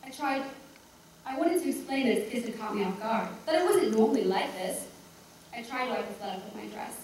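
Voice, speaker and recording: A woman performing a theatrical monologue as a reluctant, embarrassed seventy-two-year-old, chatty and blunt, with halting, dismissive asides. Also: a little high, lively intonation, a little mellow. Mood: regretful. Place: echoey room, recorded from far off. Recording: echoey room, distant mic, faint noise.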